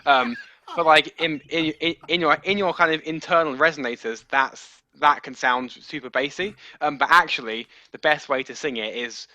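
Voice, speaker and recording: A man giving practical, encouraging advice to bass singers in a choir rehearsal. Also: normal speed, pushed and bright, dry recording, lo-fi sound. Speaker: bass section coach.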